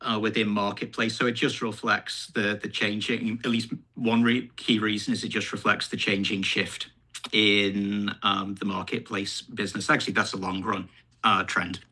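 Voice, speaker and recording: A man with a fairly low but very sharp, forward voice. He answers informally as a company executive on an earnings call, thinking as he goes. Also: little pitch movement, moderate pace, slightly rough.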